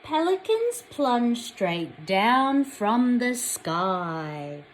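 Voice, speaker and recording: Slow, expressive Australian woman reading to children. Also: on the high side, slight background noise, rough recording. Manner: addresses young children directly, expressive storytelling. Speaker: teacher reading a picture book aloud to children. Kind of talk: children's read-aloud with questions to listeners.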